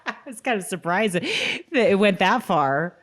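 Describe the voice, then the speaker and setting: A woman's voice: mid-range voice, animated, moderate pace. A woman talking as a podcast co-host about television. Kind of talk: casual conversational TV episode discussion.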